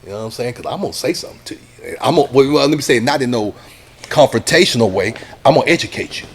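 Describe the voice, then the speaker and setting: A middle-aged man, a radio DJ talking casually in an interview, animated and acting out what other people say. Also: mid-range voice, quick pace, slightly rough. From Texas, USA. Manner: animated, acts out quoted people, says "you know what I'm saying" a lot.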